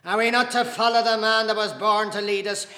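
A man's voice: medium pitch, fairly even intonation, slow pace, pushed and bright. A man voicing a fiery, bitter Irish nationalist in a storybook reading, declaiming accusations against the clergy with defiance. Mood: challenging. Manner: declaiming, accusatory rhetorical questions. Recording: echoey hall reverb.

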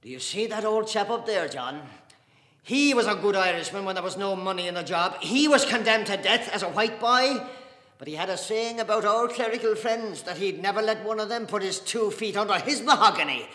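A man's voice: sits high, brisk, sharp tone, pitched above the performer's own voice. A man voicing a coarse, blustery Irish father in a storybook reading, scoffing expansively and sardonically. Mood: mocking. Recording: echoey hall reverb.

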